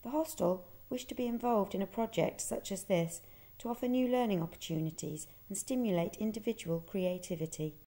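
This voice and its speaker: A woman reading scripted documentary narration in a calm, measured way. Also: mid-range voice. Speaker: narrator and museum project worker.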